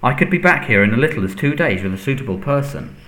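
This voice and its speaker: A man voicing a nervous, servile, cowardly henchman in a storybook, stammering and pleading in a squeaky voice. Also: lively intonation, fast talker, a bit bright, reverberant, a little hiss or hum, lightly acted. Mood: anxious, pleading. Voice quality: squeaky when agitated.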